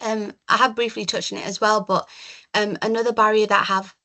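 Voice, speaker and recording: Woman presenting slides with measured pauses. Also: medium pitch, level tone, gravelly, bright, forceful tone, poor audio. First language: Urdu and Punjabi. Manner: measured, frequent um fillers. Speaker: community mental health worker.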